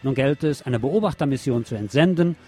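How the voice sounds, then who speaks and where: A man's voice: medium pitch, lively intonation, fairly quick, mellow. A man hosting a radio show, reading news articles aloud in a sardonic way with mocking asides.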